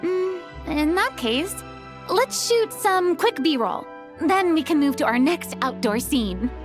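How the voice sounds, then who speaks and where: A woman's voice: sits high, animated, slow. A woman voicing a considerate, artistic female film director in a video game, polite and tactful. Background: music.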